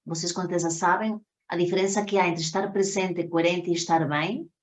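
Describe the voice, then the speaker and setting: A woman with a Spanish accent gives an introductory lecture as a teacher. Her voice sits a little low for a woman. She explains didactically and often checks that her listeners follow. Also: steady tone, fairly quick. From Spain. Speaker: psychotherapy teacher. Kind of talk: introductory online workshop lecture.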